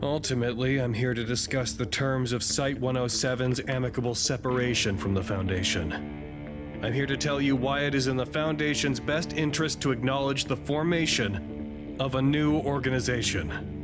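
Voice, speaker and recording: An actor playing a composed, formal male negotiator in an audio drama, speaking in a deliberate, measured way. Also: mid-range voice, over music, close to an everyday voice. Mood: composed, resolute.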